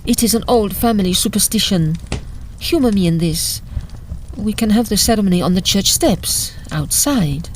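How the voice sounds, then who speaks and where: A woman acting a secretive, imperious Italian marchesa in an audio drama, speaking in a measured, formal way. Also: medium pitch, expressive, a little hiss or hum, close to an everyday voice, low-quality recording. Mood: coolly firm.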